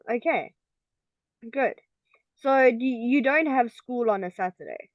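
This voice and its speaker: A woman's voice: on the high side, lively intonation, slow pace, no echo. A woman teaches English over an online call. She speaks patiently and checks her student's understanding with frequent okays. Her voice comes through dry and a little dull. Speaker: English tutor.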